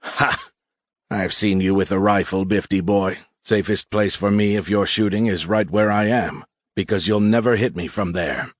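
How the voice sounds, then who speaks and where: A man's voice: lowish voice, fairly even intonation, normal speed, dry recording, studio quality, lightly acted. A man voicing a hulking, seasoned alien warrior sergeant in an audiobook, sneering, fearless and taunting. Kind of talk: audiobook character acting.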